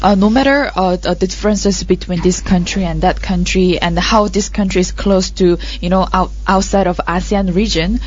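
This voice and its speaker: A woman's voice: on the low side, moderate pace, hardly any pauses. A woman co-hosting a radio current affairs discussion, talking casually with lots of "like" and "you know". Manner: casual, filler-heavy, uses "like, you know". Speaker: radio show co-host. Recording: slightly muffled studio audio.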